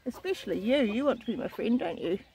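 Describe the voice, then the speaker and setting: A woman's voice: fairly high pitch, lively intonation, quick pace, mellow. A middle-aged woman, chatting playfully and casually for a travel vlog. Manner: chatty, playful, talks affectionately to animals. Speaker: travel vlogger. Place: outdoors at a farm park and roadside. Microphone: handheld camera at arm's length. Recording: outdoor ambient noise. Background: birds, roosters and outdoor ambience.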